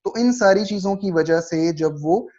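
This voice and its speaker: A man's voice: high voice, a little mellow. A man with a Pakistani accent lecturing on law in a didactic way, reading points out and explaining them. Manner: didactic, slows down markedly for read-out passages. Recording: dull lo-fi recording.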